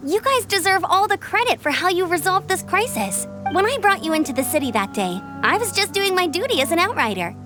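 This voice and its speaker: High, spirited young knight scout. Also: female voice, very animated, a little fast, few pauses, over music. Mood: modest. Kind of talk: anime game character acting.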